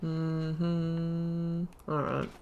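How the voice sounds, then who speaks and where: Lowish-voiced woman playing games. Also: flat delivery, extremely slow, darkish tone, muffled recording, a little hiss or hum. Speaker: gaming video host. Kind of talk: casual let's play commentary, reading dialogue options aloud.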